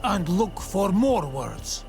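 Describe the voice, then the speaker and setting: An actor playing an earnest, cautious native islander in a video game, with simple, careful phrasing. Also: male voice, high-pitched, big pitch swings, takes it slowly, gravelly, music in the background, heavily acted. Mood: earnest, urgent.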